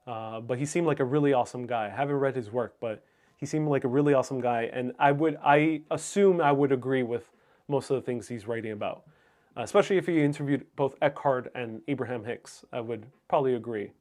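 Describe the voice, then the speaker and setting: A man's voice: mid-range voice, brisk, darkish tone. A man whose first language is Spanish, hosting a livestream in a casual, musing, somewhat hesitant way as he reads and answers viewer comments. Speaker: livestream host.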